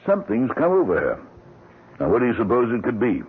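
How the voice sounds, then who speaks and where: Lively, probing old-radio doctor. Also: male voice, medium pitch, fast, slightly rough. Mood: genial, curious. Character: concerned, probing family doctor, genial and familiar. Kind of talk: old-time radio drama acting. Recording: tinny, noisy old radio broadcast.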